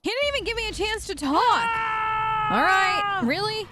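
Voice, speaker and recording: A woman's voice: very high voice, lively intonation, moderate pace, noisy background. A woman hosting a let's play, giving casual, chatty role-play commentary and musing in character.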